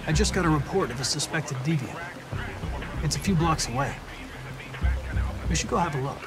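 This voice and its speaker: An actor playing a polite, earnest android police investigator in a video game, speaking formally and analytically. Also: male voice, on the high side, lively intonation, normal speed, over music, close to an everyday voice. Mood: analytical, calm. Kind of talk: cinematic video game acting.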